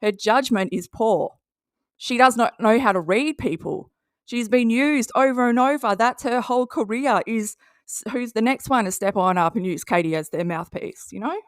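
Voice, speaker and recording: A woman's voice: on the high side, moderate pace, no room sound, clean studio sound. A woman with an Australian accent giving casual, sarcastic commentary and reading posts aloud in a blunt, mocking way. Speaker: commentary video host.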